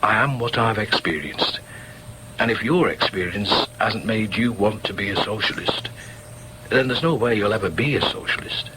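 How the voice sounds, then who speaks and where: An actor playing a proud, argumentative middle-aged socialist shop steward in a radio drama, with a Northern English accent and an emphatic, halting delivery. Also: male voice, lowish voice, noisy background, natural voice. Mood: earnest, defiant. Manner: emphatic, halting repetitions, muttered inner asides. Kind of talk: naturalistic radio drama with inner asides.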